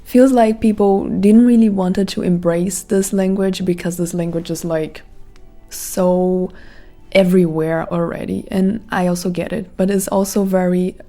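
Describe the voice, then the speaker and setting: A woman's voice: lowish voice, fairly even intonation, unhurried, dark tone, faint music behind. A woman in her thirties with a Brazilian Portuguese accent, hosting a podcast as a casual, reflective monologue full of "like" fillers. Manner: reflective, rambling with many "like" fillers. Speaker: podcast host.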